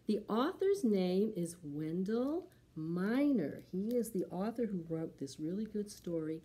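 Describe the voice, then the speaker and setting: A middle-aged woman hosting a preschool storytime, reading and talking to young children in an expressive, sing-song way. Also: mid-range voice, a little slow. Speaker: library storytime host for preschoolers. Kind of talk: children's storytime, singing and reading a picture book aloud.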